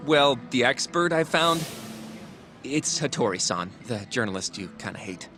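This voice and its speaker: A man's voice: mid-range voice, lively intonation, normal speed, over music, lightly acted. A man acting as an earnest, polite young lawyer colleague in a video game drama, articulate and insistent. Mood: earnest, coaxing.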